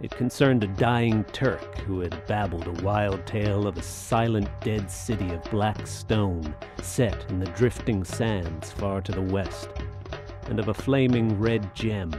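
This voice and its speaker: A wry soldier of fortune in an adventure radio play. He has a low voice and speaks in a measured, literary way, like a seasoned traveler recounting his tale. Music plays clearly behind him. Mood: mysterious narration. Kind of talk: dramatic audio drama narration and dialogue.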